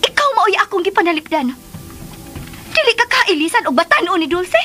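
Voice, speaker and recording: A shrill, very high-pitched jealous schemer. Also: female voice, very animated, normal speed, full character voice. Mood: scheming, insistent. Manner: forceful, imperious. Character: jealous, demanding, vindictive woman claiming her man. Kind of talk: melodramatic radio drama acting. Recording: music under the voice.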